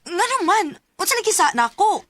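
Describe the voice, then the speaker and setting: Risa, high, lively young student. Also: female voice, brisk, no echo, clean studio sound, full character voice. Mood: earnest defensive. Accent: Cebuano. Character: Risa, sensible loyal young female student. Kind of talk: radio drama acting.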